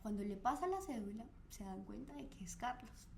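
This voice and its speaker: A woman's voice: on the high side, lively intonation, brisk, gravelly, a little mellow. Woman, true crime podcast, storytelling, faint hiss. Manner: storytelling with frequent fillers. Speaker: co-host.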